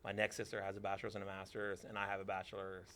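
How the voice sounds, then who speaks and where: Low-voiced, dry-humored man. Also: completely flat delivery, racing, a bit bright, slight background noise. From Texas. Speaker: theater teacher. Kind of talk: casual interview answers.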